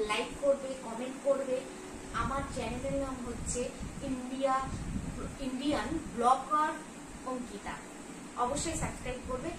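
A woman, chatting casually to viewers as a vlogger in a room at home. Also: a little high. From India. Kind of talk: casual vlog talk to viewers. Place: small room at home, indoors. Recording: echoey, distant mic, loud background noise.

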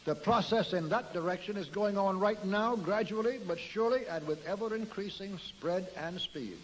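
An older man delivering a formal prepared speech from a podium to an audience, in measured oratory, counting off his points one by one. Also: high voice, a little hiss or hum. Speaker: speaker addressing an audience. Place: large hall, at a podium. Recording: old archival recording in a reverberant hall.

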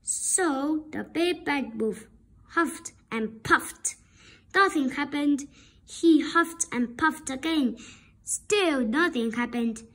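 Slow, high-voiced woman reading a storybook. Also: a bit bright. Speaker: storybook reader. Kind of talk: children's read-aloud narration.

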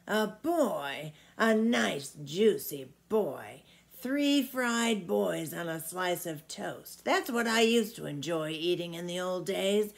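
A woman voicing a very old, toothless, gruff male giant from a storybook, fierce yet wistful, with a booming, roaring delivery. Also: animated, takes it slowly, full character voice. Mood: greedy relish. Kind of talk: storybook character voice.

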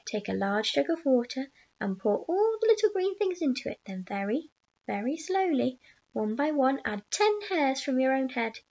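A strange little old man leans in close, his slow, creaky voice dropping to a conspiratorial whisper, as a woman narrator puts him on. The gravel in his throat is thick, and he coaxes like he is sharing a secret. The storybook recording sounds a little dull and muffled. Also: sits high, big pitch swings, a little mellow, full character voice. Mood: urgent instructions.

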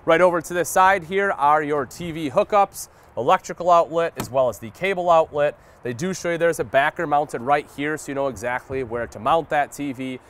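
A man's voice: a little high, fairly quick, few pauses, fairly bright tone, a little hiss or hum. An American man in his thirties giving an explanatory product walkthrough as a presenter, addressing viewers directly in a folksy way. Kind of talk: sales walkthrough review, explanatory. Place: outdoor paved RV lot between parked trailers.

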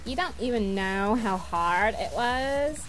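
A woman voicing an exuberant, brash young troll gamer girl who talks in drawn-out slang in an amateur cartoon fandub. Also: medium pitch, lively intonation, poor audio. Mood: gushing, excited. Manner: exuberant surfer-style slang, drawn-out exclamations. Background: music.

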